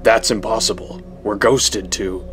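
An actor playing a grim, terse vigilante hero in a comic book audio drama, serious in manner. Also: male voice, on the low side, fairly even intonation, unhurried, a bit bright, soft background music. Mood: tense.

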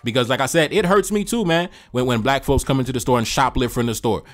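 Fast-talking man giving commentary. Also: mid-range voice. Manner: emphatic, repetitive, slangy. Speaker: host of a reaction show. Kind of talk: opinionated commentary.

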